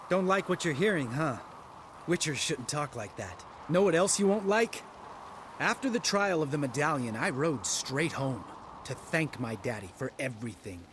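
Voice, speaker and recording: A man acts out a bitter monster hunter from a video game, crude and taunting. His pitch swings widely with every sarcastic turn, over clear background noise and ambience. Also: expressive, moderate pace, close to an everyday voice. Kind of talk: video game cutscene acting.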